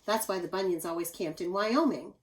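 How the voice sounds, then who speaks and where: A woman's voice: lowish voice, fairly quick. A woman reading a children's story aloud expressively, like a teacher, with asides to young listeners. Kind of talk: expressive picture-book read-aloud.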